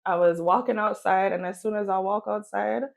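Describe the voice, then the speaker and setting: A woman's voice: mid-range voice, steady tone, moderate pace, vocal fry. Woman chatting casually as an interview guest. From Miami and Jamaica. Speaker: guest, singer.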